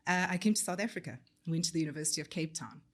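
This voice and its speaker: Composed woman from Zimbabwe, lowish voice. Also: racing. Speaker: guest, forensics and investigations lawyer. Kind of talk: conversational podcast interview. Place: studio in Johannesburg.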